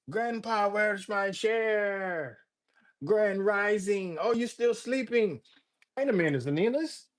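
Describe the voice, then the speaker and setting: High-voiced older man chatting on a livestream. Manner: rambling, joking, addresses viewers by name. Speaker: livestream host. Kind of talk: casual livestream chat reading viewer comments.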